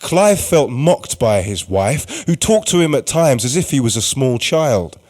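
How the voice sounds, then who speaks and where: A man's voice: mid-range voice, sing-song, a little slow, darkish tone. A man reading scripted, dramatic narration for a radio play. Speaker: narrator and writer-performer.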